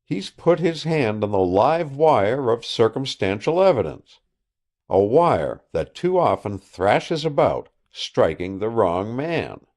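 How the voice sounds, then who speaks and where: A man's voice: mid-range voice, very animated, a little mellow. A man doing a shrewd old detective. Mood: measured. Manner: measured, restrained.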